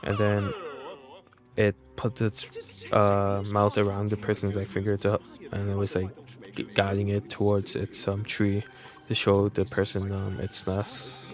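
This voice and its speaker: A young man chatting casually as a game streamer, rambling and halting as he goes. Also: on the low side, monotone, faint music behind. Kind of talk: casual livestream chat.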